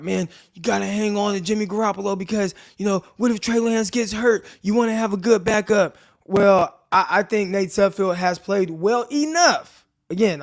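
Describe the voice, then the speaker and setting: Man, raspy dry voice, fairly high pitch, laid-back slangy sports talk, live stream host. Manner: laid-back slangy chat addressing viewers directly. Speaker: podcast host. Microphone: desk mic with earbuds in.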